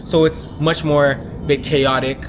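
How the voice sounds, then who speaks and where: A man's voice: a little high, unhurried. A young man from Haiti who grew up in Miami, talking casually and reflectively in an outdoor interview. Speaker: local interviewee. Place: outdoors at a cultural complex. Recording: noisy outdoors with steady hum.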